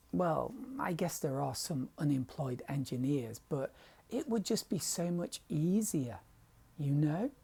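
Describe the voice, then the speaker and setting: English man, middle-aged, dry voice, husky, mellow tone, hesitant confessional rambling. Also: a little high. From England. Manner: hesitant, self-deprecating, trailing off into whispers. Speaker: painter vlogger. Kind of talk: rambling confessional vlog monologue.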